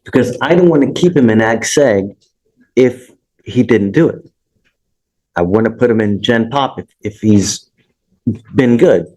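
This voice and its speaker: A man's voice: medium pitch, expressive, unhurried, long pauses, a little mellow, slightly rough, rough recording. A man presiding as a judge in a courtroom, questioning plainly and informally, sometimes repeating himself. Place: indoor courtroom. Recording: courtroom audio.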